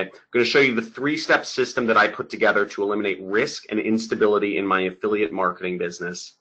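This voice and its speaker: A man presenting a sales webinar over slides, talking in an energetic, emphatic, salesy way. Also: lowish voice, rough recording.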